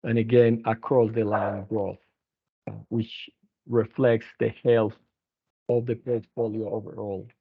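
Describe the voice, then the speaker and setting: A man presenting company financial results as an executive on an earnings conference call, speaking haltingly with frequent restarts. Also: on the low side, little pitch movement, slightly rough. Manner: halting, hesitant delivery with repeated restarts. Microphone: telephone conference line. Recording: narrow, tinny phone-line conference call.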